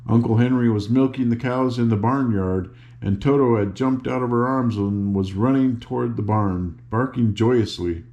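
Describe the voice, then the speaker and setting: An older man reading a children's book aloud in an unhurried, informal, grandfatherly way, with a gravelly voice and casual asides. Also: mid-range voice, dark tone, dull audio. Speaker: storybook reader and host.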